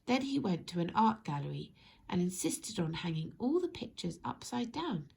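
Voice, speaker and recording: A woman narrating a children's story expressively, in measured phrases that speak directly to young listeners. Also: lowish voice, sing-song, gravelly, a little mellow, husky. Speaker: storybook reader.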